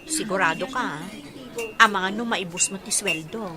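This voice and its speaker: An actress with an Ilocano accent playing a frank, caring young woman being courted in an expressive radio drama. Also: mid-range voice, moderate pace, loud music behind the voice, natural voice. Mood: frank, teasing.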